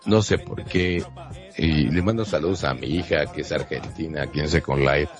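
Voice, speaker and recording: A man's voice: extremely low pitch, moderate pace, soft background music. A man with a northern Mexican accent co-hosting a casual radio chat in a playful, teasing, rambling way. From Monterrey, Mexico. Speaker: radio show co-host.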